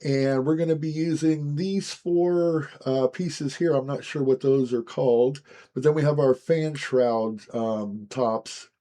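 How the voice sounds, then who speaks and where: Hoarse raspy-voiced man, mellow tone. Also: mid-range voice, slow. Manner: relaxed, chatty, frequent "guys" and "um". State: hoarse, recovering from lost voice. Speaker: scale model building host. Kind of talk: casual step-by-step building walkthrough.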